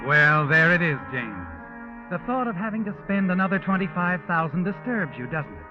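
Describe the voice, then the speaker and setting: A man's voice: high voice, animated, normal speed. An American man playing an ominous, all-knowing narrator in 1940s radio mystery style, insinuating and taunting with rhetorical questions. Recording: lo-fi old radio, organ music behind.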